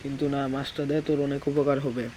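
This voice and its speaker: A man's voice: mid-range voice, little pitch movement, a little fast, warm tone, a little hiss or hum, close to an everyday voice. A man voicing a hungry, pleading old village woman in a children's cartoon story, with a coaxing, familiar manner. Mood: insistent. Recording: music behind the voice.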